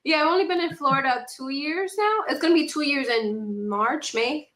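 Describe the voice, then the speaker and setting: A woman's voice: high voice, normal speed, reverberant, a crowd in the background. A young woman from the Dominican Republic and New York City, talking casually and animatedly as a podcast guest. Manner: animated storytelling, acts out her own past remarks. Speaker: podcast guest, actress and content creator. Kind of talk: casual conversational interview.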